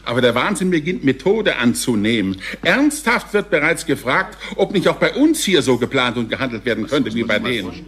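An actor playing a scornful, alarmed official chairing a crisis meeting, formal and oratorical, in a science fiction radio drama. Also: male voice, mid-range voice, sing-song, moderate pace, a bit bright. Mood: scornful, commanding. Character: scornful, alarmed male crisis committee chief.

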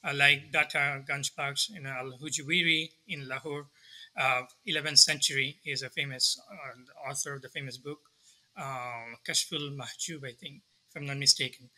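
A man in his thirties from Bangladesh, answering interview questions thoughtfully over a video call from a study lined with books, pausing and restarting often. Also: medium pitch, steady tone, pushed and bright, poor audio. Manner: thoughtful, many restarts and fillers. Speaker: guest scholar. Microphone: video call webcam.

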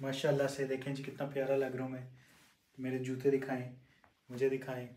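A man's voice: mid-range voice, completely flat delivery, brisk, dark tone. A young man chatting casually and playfully as he hosts a family vlog. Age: young adult. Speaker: vlogger, son filming the family. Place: home dining room. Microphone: handheld phone. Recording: echoey room, faint dish clatter.

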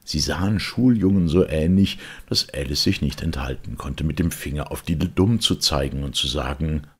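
A man's voice: a bass voice, moderate pace, slightly rough. A man narrating a storybook audiobook expressively from a script.

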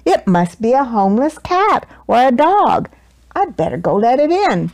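A woman's voice: fairly high pitch, sing-song, moderate pace, darkish tone. A woman voicing a kindly but strict storybook lady who takes in stray pets, prim and firm in a motherly way. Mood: resigned.

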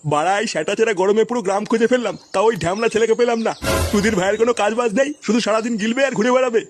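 A cranky old village grandfather from a comedy cartoon acted by a man, with a very high voice. He scolds and grumbles quickly and crudely. There is faint noise behind him. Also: close to an everyday voice. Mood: grumbling. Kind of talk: exaggerated comic cartoon dialogue.

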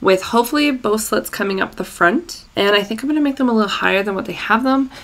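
A woman in her thirties, slightly croaky from a recent illness, chatting casually through a sewing tutorial in a small home room. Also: fairly high pitch, fast, a bit bright. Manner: chatty and self-deprecating, with fillers. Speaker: sewing vlogger. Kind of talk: casual tutorial chat to camera.

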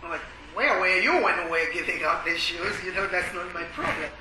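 A man from Malawi sharing his testimony at a church workshop, speaking haltingly with many pauses and filler sounds. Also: sits high, bright, forceful tone. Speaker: ministry worker. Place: conference workshop room. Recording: echoey room with background noise.

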